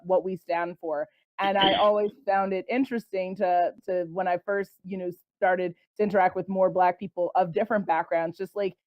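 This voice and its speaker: A woman hosting a podcast interview, asking questions in a measured way with frequent "you know" and affirming hums. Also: on the low side, no room sound. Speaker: podcast host. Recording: dull, dry sound.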